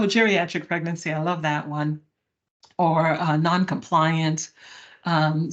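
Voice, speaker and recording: A middle-aged woman giving a webinar talk, conversational and emphatic, often checking in with her listeners. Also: deep voice. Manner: conversational, emphatic, addresses audience with "y'all" and "right?". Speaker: presenter. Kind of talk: webinar presentation.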